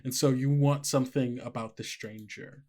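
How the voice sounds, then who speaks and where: A man with a mid-pitched voice narrates a tabletop game as game master. He is conversational and agreeable, affirming players as he explains things. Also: steady tone, normal speed, a little mellow.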